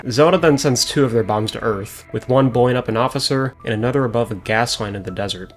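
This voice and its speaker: A man with a hoarse voice recapping episodes of an old show as a review host, with dry, sarcastic asides. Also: mid-range voice, fast, over loud music. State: hoarse after a concert.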